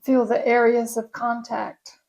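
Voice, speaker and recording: A woman yoga nidra instructor leading a guided meditation in a gentle, soothing, unhurried way. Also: fairly high pitch, monotone, gravelly.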